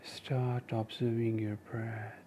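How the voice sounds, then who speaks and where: A man with an Indian accent leading a guided meditation, giving gentle, measured instructions in short phrases with pauses. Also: on the low side, level tone, slight background noise. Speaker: meditation teacher and podcast host.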